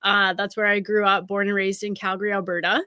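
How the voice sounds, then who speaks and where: A woman's voice: medium pitch, level tone, brisk, bright, forceful tone, no room sound. A woman in her thirties from Canada, a college recruiting scout chatting as an interview guest in an upbeat way with lots of fillers. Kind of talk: casual interview conversation.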